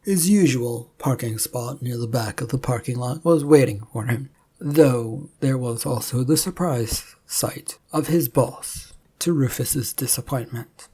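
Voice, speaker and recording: A female storyteller reads scripted fiction. Her voice is extremely low and mellow, with a husky grain. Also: unhurried. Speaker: narrator and storyteller.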